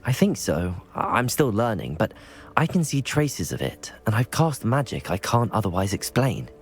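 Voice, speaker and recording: A man's voice: fairly low pitch, big pitch swings, normal speed, faint music behind. A man playing a polite, guarded student wizard in a video game, understated in manner. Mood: hesitant candour.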